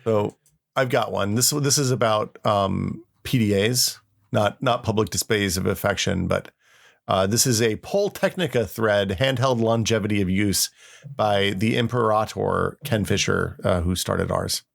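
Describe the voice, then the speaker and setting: A man's voice: lowish voice, measured pace, vocal fry. A man co-hosting a podcast in a geeky, conversational way, chatting casually and reading aloud. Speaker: podcast co-host. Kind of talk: casual chat with dramatic readings of old forum posts.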